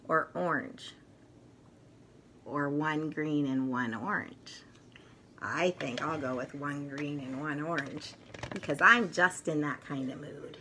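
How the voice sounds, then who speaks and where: A middle-aged woman reads and teaches to young children in an expressive, deliberate, sing-song storytelling manner. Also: sits low, background noise. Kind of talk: picture book read-aloud for young children. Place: furnished room at home, indoors.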